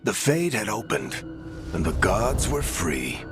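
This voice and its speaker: An actor voicing a solemn, ominous storyteller who narrates a dark fantasy game. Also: male voice, fairly low pitch, very animated, slow, music in the background. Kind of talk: dramatic scripted game narration.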